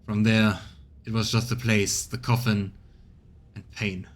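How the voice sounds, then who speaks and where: A man voicing a proud, stubborn interrogator in a game, weakened by torture, speaking formally and haltingly in a strained, bitter way. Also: lowish voice, level tone, lightly acted. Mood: grim, weary. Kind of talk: acted reading of game dialogue.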